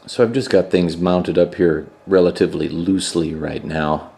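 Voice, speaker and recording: Low-voiced man musing in a roomy garage. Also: moderate pace, creaky. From Minnesota, USA. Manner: relaxed, musing, thinks aloud with fillers. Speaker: motorcycle builder and channel host. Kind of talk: casual workshop vlog. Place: home garage workshop. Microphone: camera mic, moving around the room. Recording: roomy garage, sometimes far from mic.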